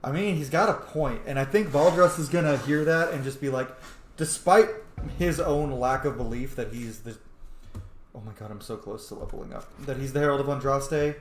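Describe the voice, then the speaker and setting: A man's voice: mid-range voice, moderate pace. A man with a mid-range voice hosts a gaming video in a casual, sweary way, role-playing along as he comments. The room is noticeably echoey, with faint game ambience behind him. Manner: informal, sweary. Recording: roomy echo, faint game noise behind.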